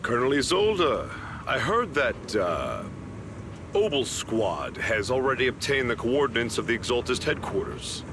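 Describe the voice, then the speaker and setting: A man's voice: medium pitch, very animated, background noise. A man voicing a condescending, pompous major general in a video game, commanding and slyly sarcastic. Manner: pompous, deliberate, veiled sarcasm. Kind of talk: scripted video game voice acting.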